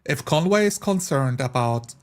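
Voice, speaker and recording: A man's voice: medium pitch, animated, normal speed. A man chatting casually as a tabletop player, explaining his points and voicing hypothetical thoughts. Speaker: tabletop role-playing player. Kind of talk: casual chat.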